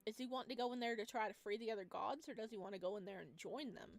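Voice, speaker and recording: A woman's voice: fairly high pitch, very fast. A woman hosting a let's play, giving casual reaction commentary as she follows a game's story. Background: game music.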